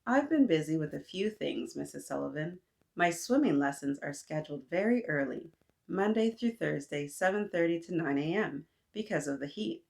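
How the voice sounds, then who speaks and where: A woman's voice: sits low, animated, normal speed, creaky, a little mellow. A woman voicing a friendly, busy young girl in a picture book, polite, chatty and earnest. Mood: earnest, explaining.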